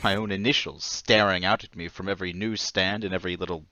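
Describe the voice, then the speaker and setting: A man's voice: low voice, fairly even intonation, fast, bright, forceful tone, no echo, lo-fi sound. An actor playing a meek, forgetful former travelling salesman in old-time radio drama style, hesitant, apologetic and fretful. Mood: bitter, troubled.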